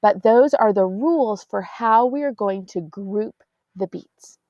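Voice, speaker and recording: A choir teacher, patiently explaining sheet music to middle school singers and counting beats aloud. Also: female voice, medium pitch, a little slow, warm tone, dry recording. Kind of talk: classroom music lesson. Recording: dull, dry lo-fi audio.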